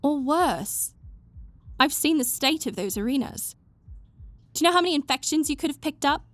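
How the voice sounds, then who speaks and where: A woman's voice: high-pitched, lively intonation, moderate pace, dry recording. A woman voicing a stern, bossy but caring young woman who scolds someone she cares about. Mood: scolding. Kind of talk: fan audio roleplay.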